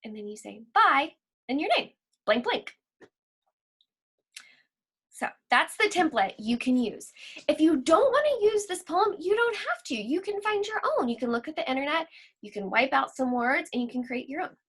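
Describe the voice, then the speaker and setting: A woman teaching a lesson for children, explaining simply and reading aloud deliberately, like a school library teacher. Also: high voice, expressive, fairly bright tone.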